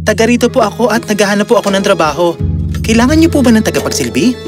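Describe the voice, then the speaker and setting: Storybook casting: eager young servant lad, played by a voice actor, deferential, animated, mid-pitched, soft music behind. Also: rapid-fire, lightly acted. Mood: polite, earnest. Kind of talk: children's fairy-tale dialogue.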